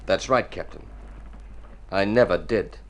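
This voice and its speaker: A wiry, guarded youth in a radio play. His voice sits fairly low and he talks laconically, cool and hard beyond his years. The old recording has a faint hiss. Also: unhurried, poor audio. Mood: laconic. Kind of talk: old radio drama acting.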